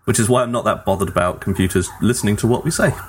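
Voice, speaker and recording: A man's voice: on the low side, very fast, a little hiss or hum. A man chatting casually as a podcast host, with a wry, pedantic way of putting things. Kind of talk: casual gaming podcast chat.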